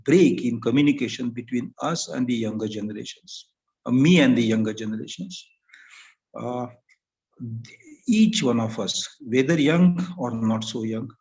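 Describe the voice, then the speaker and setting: Older Indian man, gravelly and halting. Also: mid-range voice, darkish tone. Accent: Indian English. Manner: halting, thoughtful, with many pauses and fillers. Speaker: panel speaker. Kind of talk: academic talk. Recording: slightly muffled lo-fi recording.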